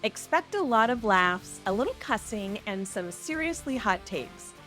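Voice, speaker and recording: A woman's voice: medium pitch, big pitch swings, unhurried, fairly bright tone. A woman hosting a podcast, reading a scripted promotional intro. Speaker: podcast host. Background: faint music.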